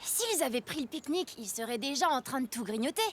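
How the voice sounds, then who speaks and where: A woman voicing a reassuring, sensible young animal child in a children's cartoon, explaining things calmly. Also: sits high, fast, husky. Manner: calming, explanatory. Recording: loud music behind.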